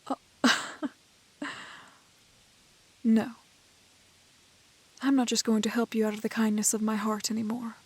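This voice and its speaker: A woman playing a prim, plucky, kind-hearted young woman in a fairy-tale roleplay, with soft voice and proper, old-fashioned phrasing. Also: on the high side, level tone, moderate pace, breathy edge. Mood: miffed, teasing. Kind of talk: romantic fantasy roleplay audio acting.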